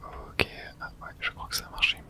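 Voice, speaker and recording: Someone whispering throughout an ASMR let's play, narrating the game and reading its texts aloud in a hush. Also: a little fast. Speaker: host playing and narrating a game.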